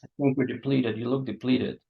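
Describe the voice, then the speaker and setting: A man acting a gentle, awkward, solicitous host in a staged play reading, hesitant and coaxing. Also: mid-range voice, fairly even intonation, a little fast, gravelly, natural voice. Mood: concerned, coaxing. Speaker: actor. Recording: dull, muffled sound.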